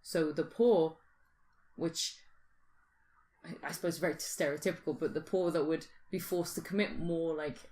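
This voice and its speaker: A woman's voice: low voice, steady tone, normal speed, a little mellow. A British woman in casual conversation, musing informally and thinking aloud as a podcast host. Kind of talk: casual speculative chat.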